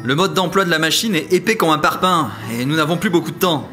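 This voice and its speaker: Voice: mid-range voice, quick pace, bright, forceful tone, lightly acted. A performer playing a frank, harried ensign who runs a time machine in a comic audio drama, blunt and informal. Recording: music behind the voice.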